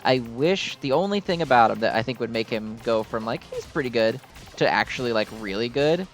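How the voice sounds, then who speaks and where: A man's voice: medium pitch, animated, a little fast, faint music behind. A man giving casual, rambling gameplay commentary with a fan's enthusiasm and some mild swearing. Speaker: gamer commenting on own gameplay.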